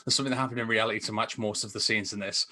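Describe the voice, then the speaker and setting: A man's voice: lowish voice, fairly even intonation, racing, pushed and bright. A man co-hosting a film podcast over a video call, rambling enthusiastically with a stop-start delivery in casual discussion. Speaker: podcast co-host and film reviewer. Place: indoor room with posters.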